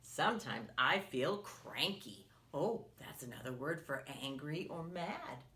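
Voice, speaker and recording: A woman reading a picture book aloud to young children as a story time host, expressively, often putting questions to the listeners and pausing for their answers. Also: sits low, big pitch swings, noisy background.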